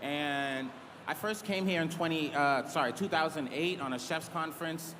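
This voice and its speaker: Man, chef from Massachusetts, earnest, higher voice, echoey hall, steady hiss. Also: moderate pace. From Cambridge, Massachusetts. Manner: earnest, hesitant fillers. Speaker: head chef and restaurant owner. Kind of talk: panel talk. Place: large convention hall. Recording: reverberant hall, clear noise.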